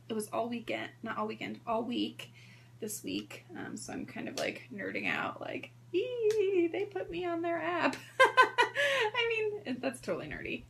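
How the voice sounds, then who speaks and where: A woman in her thirties hosting a sewing livestream in a hoarse voice, chatting casually and greeting viewers by name. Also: on the high side, pushed and bright, a little hiss or hum. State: hoarse from strep throat.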